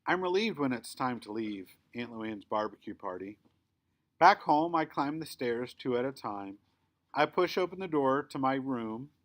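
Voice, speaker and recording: A man reading a children's story aloud in the first person, with expressive, emphatic storytelling. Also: medium pitch, a little slow. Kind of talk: scripted children's book read-aloud, first-person narration.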